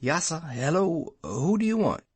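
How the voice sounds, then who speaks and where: A woman reading aloud and voicing a clumsy, plump male servant with a gravelly, husky sound. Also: low-pitched, sing-song, a little slow, a little mellow, dry recording, pitched below the performer's own voice, exaggerated voice. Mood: puzzled.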